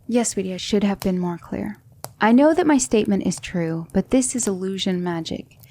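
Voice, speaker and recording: A woman playing a gentle, patient demonic magic mentor in an ASMR roleplay, speaking in measured, hushed instruction that slips into whispers. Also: medium pitch, lively intonation. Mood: patient, instructive. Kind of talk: ASMR roleplay, soft speech slipping into whispers.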